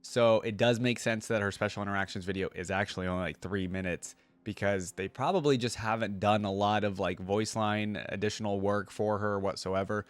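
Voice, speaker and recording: A man's voice: fairly low pitch, steady tone, fairly quick, hardly any pauses, no room sound. A man hosting a reaction video in an informal, chatty way, thinking aloud as he comments.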